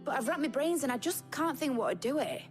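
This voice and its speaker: A young British engineer in a fantasy game, brash and keen. Her voice sits fairly high and swings in lively leaps. She talks briskly and colloquially, with loud music playing behind her. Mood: businesslike, stumped. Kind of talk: video game voice acting.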